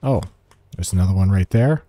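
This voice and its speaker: Mellow-voiced man doing game commentary. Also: sits low, lively intonation, fairly quick, dry recording. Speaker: gamer giving a quest walkthrough. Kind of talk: casual gameplay commentary.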